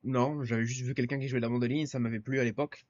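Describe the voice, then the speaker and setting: A man's voice: mid-range voice, steady tone, rapid-fire, a little mellow, dry recording, highs cut off. A man from France, a musician and podcast guest, chatting casually in a laid-back, slangy way and slipping into English now and then. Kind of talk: casual interview chat.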